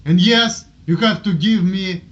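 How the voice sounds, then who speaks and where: A man's voice: high-pitched, measured pace, fairly bright tone, highs cut off. A man from Russia with a strong Russian accent, rambling casually and repeating himself as he justifies himself in a homemade video blog. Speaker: amateur video blogger. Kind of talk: casual rambling talk to camera.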